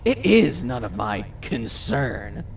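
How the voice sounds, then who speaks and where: A man voices a sarcastic, lordly gang leader in an audio drama. His gravelly voice fries heavily and moves in lively swings, with formal, condescending phrasing. The mic sounds a bit far away. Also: mid-range voice, slow pace, slight background noise, heavily acted. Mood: smug. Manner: condescending, mock-polite, formal wording. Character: haughty, sarcastic, commanding male supernatural gang leader. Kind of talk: audio drama character acting.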